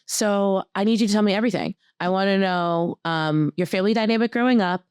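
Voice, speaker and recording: A woman hosting a podcast interview, her mid-pitched voice recorded close and dry. She questions her guest with playful curiosity, jumping in with quick reactions. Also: darkish tone, smooth, no room sound. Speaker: podcast host. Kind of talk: casual interview.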